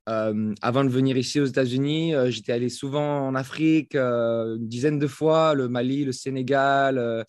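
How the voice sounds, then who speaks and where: A French man who just turned thirty, guest on a podcast, speaking in a halting way full of fillers and restarts. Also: mid-range voice, steady tone, a little mellow, no room sound, clean studio sound. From France. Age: 30s. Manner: hesitant, many fillers and restarts. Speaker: guest, fitness coach. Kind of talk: casual interview talk. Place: home in Florida, over a call.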